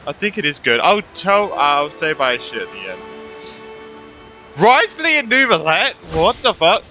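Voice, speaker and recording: A man's voice: on the high side, very animated, normal speed, sharp tone, faint music behind. A man doing casual live gameplay commentary, reacting in a slangy, sweary way. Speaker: video game streamer.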